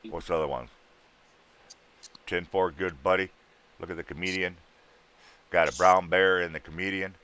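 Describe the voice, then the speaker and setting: Deep-voiced joking trucker. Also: male voice, steady tone, normal speed, long pauses, bright, forceful tone, smooth. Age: 30s. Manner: joking, teasing banter with trucker slang. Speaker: trucker livestream host. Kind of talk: casual livestream chat.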